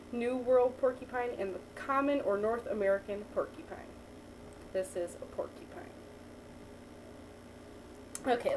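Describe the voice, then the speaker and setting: A woman teacher reading a picture book aloud to a child, pausing to ask questions and praise the answers. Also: mid-range voice, darkish tone. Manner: patient, encouraging, asks and praises. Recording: distant mic with faint background noise.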